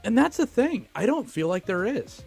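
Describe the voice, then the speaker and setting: A man's voice: very high-pitched, big pitch swings, normal speed, creaky, darkish tone, husky, soft background music. A man co-hosting a film podcast in casual, opinionated, profane conversation.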